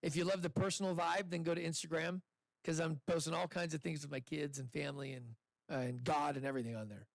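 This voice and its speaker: A man hosting a live pitch event, speaking casually into a handheld microphone to an audience in an indoor room, chatty and upbeat with loose restarts. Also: fairly even intonation, fast, vocal fry, dry recording, low-quality recording. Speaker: event host and investor.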